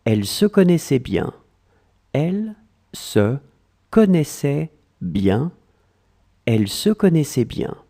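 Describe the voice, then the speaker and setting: Very slow dictation voice, dark and gravelly, lively pitch, repeats phrases chunk by chunk. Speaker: language tutor.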